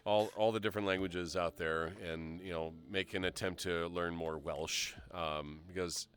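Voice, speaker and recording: A man with a very low, bright and smooth voice hosts a casual live stream. He reads out questions from viewers and rambles through his answers in a relaxed, easygoing way. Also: moderate pace. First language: English. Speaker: show host.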